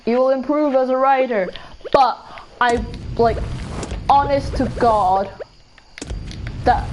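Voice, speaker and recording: Slow, rambling young woman. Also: high-pitched, slight background noise, poor audio. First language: Welsh. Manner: rambling, full of "like" and restarts, giggly. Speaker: streamer. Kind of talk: casual chat while playing a game. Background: game music.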